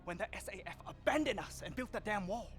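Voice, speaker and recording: Swaggering, bitter gangster over music. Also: male voice, high-pitched, lively intonation, fast, a bit bright. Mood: bitter, angry. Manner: taunting, boastful. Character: swaggering male gangster arms buyer, foul-mouthed and bitter. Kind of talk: video game cutscene acting. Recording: music under the voice.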